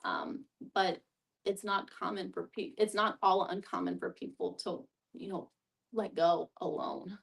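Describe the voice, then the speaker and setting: A woman's voice: fairly low pitch, measured pace, creaky. A woman speaking as a discussion facilitator, giving thoughtful, explanatory answers in a conversational way. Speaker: guest facilitator, hospice social worker. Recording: distant mic.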